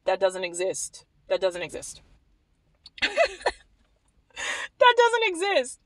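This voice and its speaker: A young woman from Maryland talks to the camera in a small room, animated and wry. Her voice sits in a middle register, and she doubles back on phrases to drive a point home. The recording is close and dry, with no room sound. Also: mid-range voice, slow, no room sound. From Maryland, USA. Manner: animated, wry, repeats herself when emphasizing, laughs at points. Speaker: vlogger. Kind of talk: casual commentary to camera. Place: small indoor room against a plain wall.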